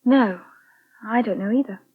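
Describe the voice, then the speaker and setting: An actress playing a distracted, gently anxious young English waitress in a 1980 British radio soap, hesitant and faltering. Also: female voice, fairly high pitch, moderate pace, breathy edge. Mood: tactful. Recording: dull, band-limited old radio recording.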